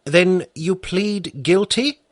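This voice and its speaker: A man reading the part of a stern, patient judge who questions the accused formally and slowly. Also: sits high, no room sound, studio quality, pitched above the performer's own voice. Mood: stern questioning.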